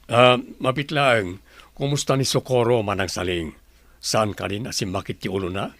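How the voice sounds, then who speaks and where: A man's voice: fairly low pitch, steady tone, normal speed, a bit bright, dry recording. A man playing a courteous, devoted and worried middle-aged husband in an Ilocano radio drama. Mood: gentle.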